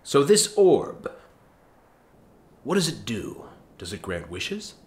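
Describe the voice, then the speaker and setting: A man's voice: mid-range voice, wildly swooping pitch, slow, darkish tone. A man playing a pompous, self-centred, sarcastic starship captain in a comedic sci-fi radio play, speaking in a haughty, theatrical way. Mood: feigned interest.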